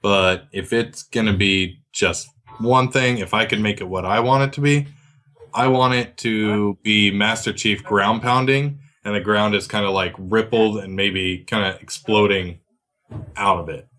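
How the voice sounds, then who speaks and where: Male panelist, slightly low, crisp bright tone, casual podcast talk. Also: unhurried. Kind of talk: casual chat.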